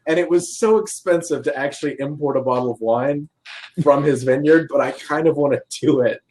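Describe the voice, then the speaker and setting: A man's voice: on the high side, brisk, darkish tone, rough recording. A man, young to middle-aged, chatting casually as a guest and telling anecdotes in an informal, wry way. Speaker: podcast guest.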